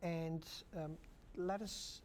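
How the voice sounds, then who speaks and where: A man hosting a local cable talk show, speaking haltingly with many fillers and restarts. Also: on the high side, steady tone, darkish tone, slight background noise. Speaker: host.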